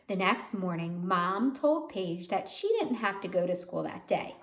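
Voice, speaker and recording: A woman reading a children's storybook aloud like a teacher, pausing to explain words and put questions to listeners. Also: on the low side, lively intonation. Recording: roomy, echoey sound.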